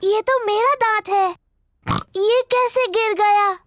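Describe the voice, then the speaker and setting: A woman's voice: very high-pitched, slow, a bit bright, dry recording, clean studio sound, heavily acted. A woman voicing a cheerful, bossy little girl pig, an eager big sister in a children's cartoon. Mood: surprised, curious. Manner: bossy, matter-of-fact, childlike. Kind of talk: cartoon dubbing.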